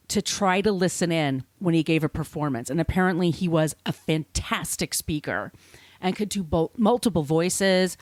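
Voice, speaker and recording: A woman's voice: lowish voice, dry recording, studio quality. An American woman hosting a podcast, telling a story from notes conversationally, with informal, wry asides. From United States. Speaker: podcast host.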